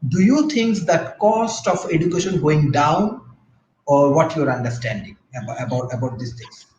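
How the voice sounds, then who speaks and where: A man's voice: a little high, lo-fi sound. Man from Asia Pacific, echoey video call. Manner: halting questions, steady backchannel "mm-hmm". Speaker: host. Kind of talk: online interview.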